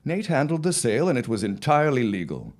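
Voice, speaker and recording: A man acting a confident, dismissive farmer husband of the early 1900s in an audio drama, with formal, deliberate phrasing. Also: mid-range voice, very animated, a little mellow. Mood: breezy, confident.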